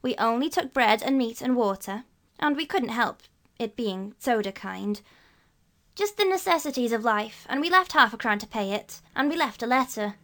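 An earnest young boy from a storybook, put on by a woman reader, speaking in a raised, lively voice that jumps up and down. He pleads earnestly and tries hard to sound brave. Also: on the high side, normal speed, voice pushed up. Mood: pleading.

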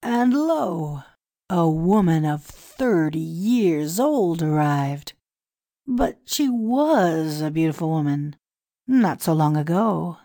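A woman's voice: deep voice, expressive, mellow, no room sound, studio quality, full character voice. A woman reading a pompous scheming priest. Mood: grand. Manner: grand, confiding, measured. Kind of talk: audiobook dialogue reading.

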